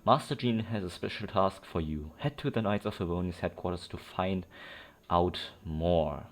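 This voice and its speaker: A man's voice: deep voice, normal speed. A man hosting a let's play with casual, unscripted, rambling commentary, often repeating himself. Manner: rambling, self-repeating, informal. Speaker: gaming video host. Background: game music.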